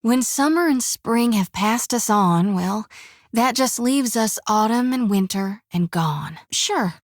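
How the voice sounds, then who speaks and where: A folksy young priestess of a death god, talks in a drawl, rambling and plainspoken. Her voice sits a little high. The game recording is close and dry, with no room around it. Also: expressive, slow, dry recording. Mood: earnest. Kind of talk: video game voice acting.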